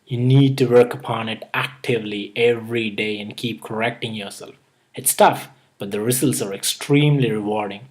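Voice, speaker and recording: A man's voice: mid-range voice, a little mellow, rough recording. A young man with a mild Indian accent, hosting his own channel and reading earnest, step-by-step advice in a scripted tutorial. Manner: earnest, advice-giving, list-by-list delivery. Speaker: YouTube host.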